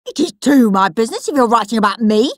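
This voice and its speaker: A woman's voice: a little high, lively intonation, fast talker, no room sound, studio quality. Woman voicing a brash, bossy horrid boy. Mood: demanding. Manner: demanding, brash.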